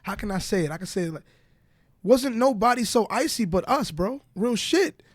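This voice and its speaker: A man's voice: sits high, lively intonation, normal speed, no echo, studio quality. A man with a stutter talking candidly as a podcast guest, repeating his points in short bursts, with a Southern US accent. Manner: stutters, repeats phrases like "bro" and "real shit". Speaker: guest rapper. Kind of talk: candid interview talk.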